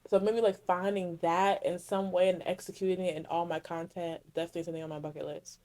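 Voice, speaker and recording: A young woman hosts a casual solo podcast, answering listener questions in a loose, rambling way and full of "like." Her voice sits a little low and sounds mellow and relaxed. She often corrects herself partway through a thought. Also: fast talker. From Connecticut, USA. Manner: rambling, self-correcting, heavy use of "like". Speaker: podcast host.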